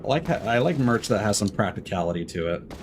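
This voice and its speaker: A man's voice: fairly low pitch, sing-song, fast, noisy background. A man live-streaming a game with casual commentary, rambling and thinking aloud as he goes.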